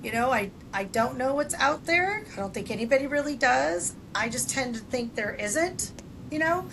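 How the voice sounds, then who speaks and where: A woman's voice: animated, normal speed. An older woman co-hosting a podcast, chatting casually in a blunt, salty, informal way. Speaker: podcast co-host. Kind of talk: casual chat. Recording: clear background noise, low rumble.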